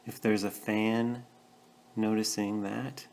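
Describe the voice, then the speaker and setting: Very slow, low-pitched male meditation guide. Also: little pitch movement, gravelly. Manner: gentle, measured, pausing between short phrases. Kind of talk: guided meditation, calm instruction.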